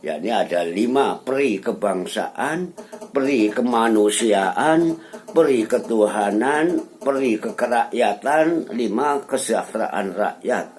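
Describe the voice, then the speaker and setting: A man giving an informal explanatory lecture, didactic and halting as he reads out lists of terms one by one. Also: mid-range voice, expressive, a little mellow, a little hiss or hum.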